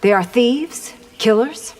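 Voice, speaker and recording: An actress playing a proud, confident army commander in a video game, with haughty, formal speech. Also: female voice, on the high side, expressive, slow pace, a little mellow, a little hiss or hum. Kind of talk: video game character dialogue. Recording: long reverb.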